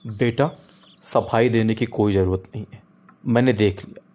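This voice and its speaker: A man's voice: on the low side, fairly even intonation, moderate pace, a little mellow, close to an everyday voice. A man acts out a middle-aged father from a comic cartoon, exasperated and preachy. He, with a coarse, foul-mouthed streak. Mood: indignant. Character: exasperated middle-aged Indian father scolding his wayward son.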